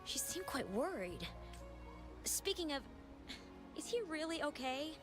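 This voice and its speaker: An actress playing a flustered, eager young receptionist in a game. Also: female voice, sits high, sing-song, a little slow, music in the background. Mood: chatty.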